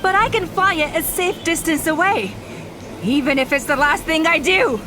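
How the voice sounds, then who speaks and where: An actress voicing a resolute, self-sacrificing young woman in a game cutscene, calm and determined. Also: extremely high pitch, moderate pace, noisy background. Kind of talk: video game cutscene voice acting. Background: faint game music.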